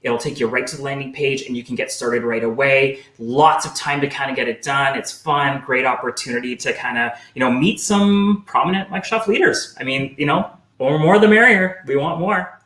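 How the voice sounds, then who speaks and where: A man giving a relaxed, casual update with "you know" and "kinda" fillers. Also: medium pitch, normal speed, hardly any pauses. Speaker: segment presenter. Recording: echoey room.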